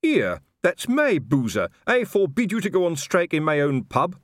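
A pompous, red-faced watch captain, voiced by a man reading an audiobook, bellowing in a strained, raised voice. His outrage pushes the pitch well above the reader's own and swings it widely. The recording is dry and close. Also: wildly swooping pitch, moderate pace, dry recording. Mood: indignant. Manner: shouting, indignant bluster. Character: blustering middle-aged male watch captain, pompous and irritable. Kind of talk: audiobook character voice.

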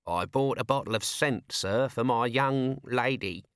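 A man as a guileless, chatty lowly watchman. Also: unhurried, fairly bright tone, clear, resonant tone, dry recording, studio quality. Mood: pleased, earnest. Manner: earnest, chatty, unrefined. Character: guileless, deferential lowly male watch corporal.

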